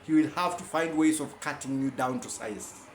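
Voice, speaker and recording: A man's voice: mid-range voice, normal speed, reverberant, slight background noise. A man giving a rambling, self-correcting informal lecture.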